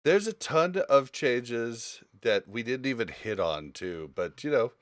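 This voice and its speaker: A man hosting a casual gaming podcast, talking informally and thinking aloud in halting phrases. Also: medium pitch, animated, no echo, clean studio sound. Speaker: podcast host.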